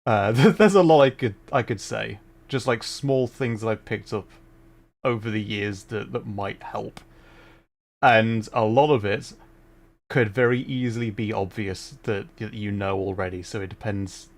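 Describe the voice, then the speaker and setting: A man's voice: lowish voice, measured pace. A young adult man chatting casually while streaming a game, rambling and correcting himself, with frequent laughs.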